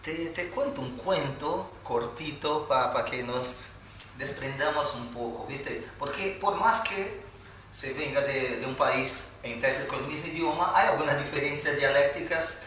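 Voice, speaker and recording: A man's voice: fairly high pitch, unhurried, pushed and bright, slight background noise. A man from Spain hosting a live interview, asking long, rambling questions. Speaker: host. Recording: echoey room, far from mic.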